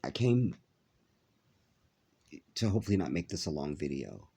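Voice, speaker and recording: A man talking to his viewers in a halting vlog, with a nasal, congested voice as he recovers from an illness. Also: sits low, sing-song, mellow, husky. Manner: halting, many filler pauses. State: stuffy, congested after illness. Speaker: vlogger. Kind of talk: casual vlog talk to viewers.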